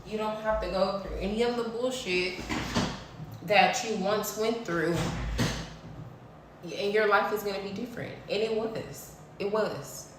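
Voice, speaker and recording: A woman's voice: medium pitch, unhurried, distant mic, noisy background. A woman in her thirties giving a blunt, preachy spiritual talk to her viewers, swearing freely. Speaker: spiritual vlogger. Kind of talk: casual spiritual talk to camera. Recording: echoey room, voice off-mic.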